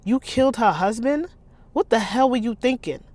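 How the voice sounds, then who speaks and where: A woman voicing a fearless, sharp-tongued female criminal in a novel, with an American accent and blunt, bossy scorn. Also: on the high side, lively intonation, normal speed, voice pushed up. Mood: exasperated.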